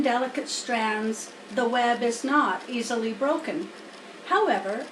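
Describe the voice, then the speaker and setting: A woman reading a children's storybook aloud as narrator, in a careful, deliberate way. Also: fairly high pitch. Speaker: audiobook reader. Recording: roomy, distant mic, faint noise.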